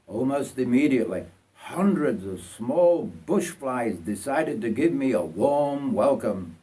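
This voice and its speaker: An older man from North Yorkshire narrates his own autobiographical story. His pitch sits in the middle range. He tells it in a measured, folksy way, as a writer reading his work aloud. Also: a little mellow. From North Yorkshire, England.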